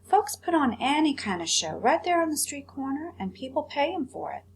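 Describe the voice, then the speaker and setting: A woman's voice: high voice, big pitch swings, normal speed, vocal fry, voice pushed up, exaggerated voice. A woman voicing a poor, determined young boy with folksy rural grammar in a storybook. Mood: eager, scheming. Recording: faint background hum.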